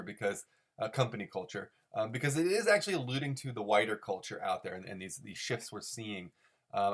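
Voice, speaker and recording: A man hosting a solo commentary show, talking off the cuff in a mock-serious, overanalytical way and repeating phrases for emphasis. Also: fairly low pitch. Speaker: host of a comic-strip commentary show. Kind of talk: rambling, unscripted solo commentary.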